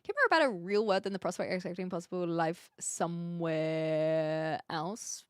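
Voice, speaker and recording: A woman from New Zealand with a posh Kiwi accent, chatting casually as a livestream host in an expressive, giggly way. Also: lowish voice, moderate pace, clean tone, dry recording, clean studio sound. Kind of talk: casual livestream chat, answering viewer comments.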